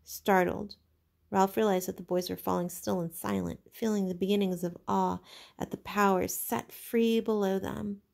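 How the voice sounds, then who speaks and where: A woman teacher narrating a novel aloud in an expressive, dramatic storytelling manner. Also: mid-range voice, moderate pace, gravelly.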